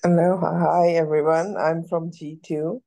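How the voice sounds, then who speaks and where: A man's voice: fairly high pitch, measured pace, no echo. A man from Pakistan, casually introducing himself on a video call with many ums. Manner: relaxed, hesitant, many ums. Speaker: alumni participant.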